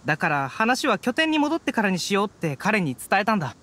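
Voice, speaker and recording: A man's voice: high voice, sing-song, a little fast, sharp tone, a little hiss or hum, exaggerated voice. A man playing an earnest young adventurer in anime-style game dialogue, telling his story expressively. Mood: earnest recounting.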